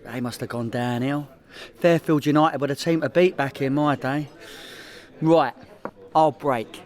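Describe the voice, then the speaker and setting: A man's voice: medium pitch, steady tone, a little slow, lightly acted. A man playing a hopeless, boastful petty criminal with a London accent in a comedy radio play, matey and defensive. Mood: boastful, excuse-making.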